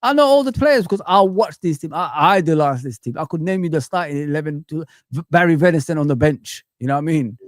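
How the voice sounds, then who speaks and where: Animated, higher-voiced man talking football. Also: fast talker, no room sound, clean studio sound. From Africa. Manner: animated, reminiscing, repeats phrases like "you know what I mean". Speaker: football pundit on a fan livestream panel. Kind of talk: casual football chat.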